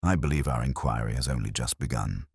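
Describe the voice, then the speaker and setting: A man voicing a calm, courteous police inspector in a village mystery story, speaking mildly and formally in a murmur. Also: rumbling low, husky, dry recording, clean studio sound, voice pushed down, full character voice. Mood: quietly serious. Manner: mild, unhurried, murmuring, formal.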